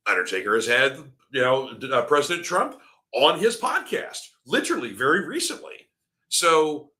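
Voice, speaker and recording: Middle-aged male show host, rambling and opinionated, sharp bright tone, slightly scratchy throat. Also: on the high side. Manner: rambling, opinionated, heavy on fillers. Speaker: wrestling podcast host. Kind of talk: live-streamed opinion commentary.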